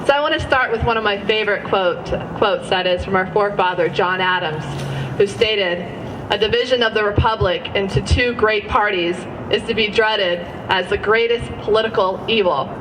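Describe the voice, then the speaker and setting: A woman's voice: medium pitch, moderate pace. Thirty-something woman speaking at a rally. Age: 30s. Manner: earnest, with frequent uh fillers and self-corrections. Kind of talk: public speech. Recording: distant mic with audience noise.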